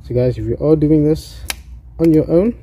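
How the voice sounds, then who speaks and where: A man's voice: fairly high pitch, normal speed, dark tone, a little hiss or hum. A man with a South African accent giving a casual, hands-on DIY tutorial, talking informally to his viewers. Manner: informal, addresses viewers as guys. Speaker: presenter of a DIY installation tutorial.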